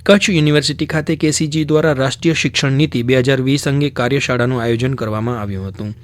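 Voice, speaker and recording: Fast male radio news presenter, music behind. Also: mid-range voice, steady tone. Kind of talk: scripted radio news narration.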